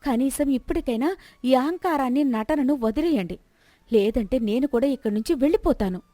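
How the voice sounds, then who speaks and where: A woman's voice: high-pitched, expressive, a little fast, warm tone, no echo. A woman voicing a clever young daughter-in-law, earnest and firm, in a moral story. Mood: grave.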